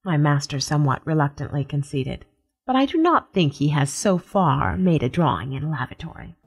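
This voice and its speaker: A woman playing a nameless, observant house cat, narrating a literary radio story in a measured, formal, deadpan way. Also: sits low, breathy edge, exaggerated voice. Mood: wry, reflective. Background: music.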